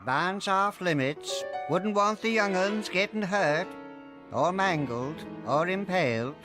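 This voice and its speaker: A stingy, morbid old-fashioned farmer from a cartoon, drawls out folksy deadpan lines at an unhurried pace. His pitch sits a little high, with music behind him. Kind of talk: animated cartoon acting.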